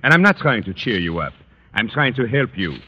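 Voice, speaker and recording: A man's voice: medium pitch, big pitch swings, natural voice. Man playing a suave Frenchman, wildly swooping voice. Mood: coaxing, confiding. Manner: halting, foreign phrasing, courtly. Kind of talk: 1940s-50s radio comedy acting. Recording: muffled, noisy old radio, reverberant hall.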